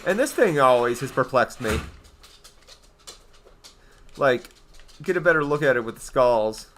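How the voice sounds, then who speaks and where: A man's voice: medium pitch, lively intonation, a little slow, fairly bright tone, a little hiss or hum. A young man from eastern Canada with a Canadian accent, chatting casually and rambling on his game livestream as he answers his viewers. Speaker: game streamer.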